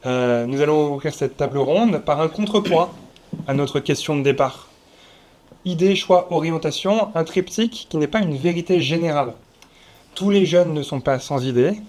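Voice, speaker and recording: A man moderating a round table before an audience, speaking in a measured, slightly formal way with occasional fillers. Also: on the high side, a little mellow. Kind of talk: formal event introduction. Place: conference room with audience.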